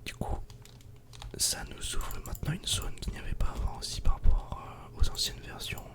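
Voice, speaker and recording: A man whispers casual ASMR game commentary in a breathy, unvoiced voice, speaking directly to the viewer. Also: moderate pace. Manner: casual, soft-spoken, addressing the viewer directly. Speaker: ASMR gaming video creator.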